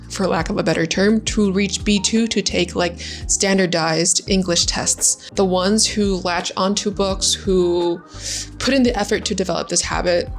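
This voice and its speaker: A young adult woman, a native Vietnamese speaker, talking as an English tutor in a casual, chatty video lesson, often dropping in "like". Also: on the low side. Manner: friendly, chatty, frequent "like" fillers. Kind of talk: casual YouTube lesson talk.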